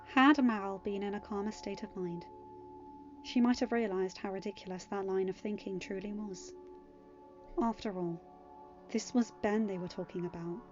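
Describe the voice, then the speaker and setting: A woman's voice: mid-range voice, fairly even intonation, normal speed, muffled recording, soft background music. A woman reading scripted fiction as an audiobook narrator.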